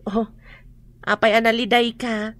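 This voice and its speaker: A woman acting in an Ilocano radio drama as a chatty, nosy friend, coaxing and questioning in an expressive Ilocano-accented way. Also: fairly high pitch, measured pace.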